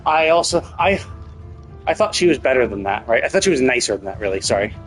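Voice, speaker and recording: A man's voice: medium pitch, very animated, fast talker, a bit bright, faint music behind. A man giving casual, opinionated game commentary on a livestream, swearing freely as he talks. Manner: profane, opinionated, mockingly paraphrases characters.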